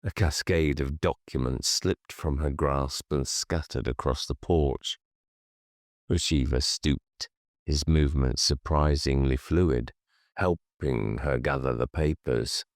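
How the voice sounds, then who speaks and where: A man narrating horror fiction for an audiobook in a measured, deliberate, hushed storytelling manner. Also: rumbling low, no room sound, clean studio sound.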